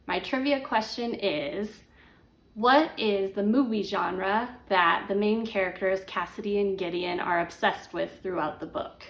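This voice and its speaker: A woman's voice: medium pitch, fairly bright tone. A woman author presenting a trivia question in a friendly, straightforward style. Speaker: young adult mystery author. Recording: echoey room.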